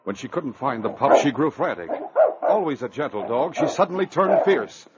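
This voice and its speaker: A man's voice: mid-range voice, expressive, moderate pace, natural voice. A man narrating an adventure serial in an earnest, suspenseful, declamatory old radio style. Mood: urgent. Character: earnest male storyteller of a northern adventure serial. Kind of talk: dramatic 1940s-50s radio narration. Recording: dull, hissy vintage radio recording.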